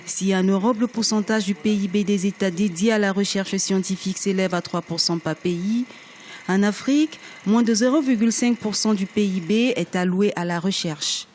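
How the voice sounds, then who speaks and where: A reporter reading a scripted news report in a steady, formal way. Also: mid-range voice, moderate pace, a little hiss or hum.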